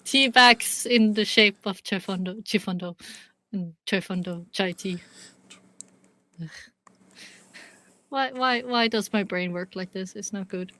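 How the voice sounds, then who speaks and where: Slow-talking woman, casually joking off script. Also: mid-range voice, pushed and bright.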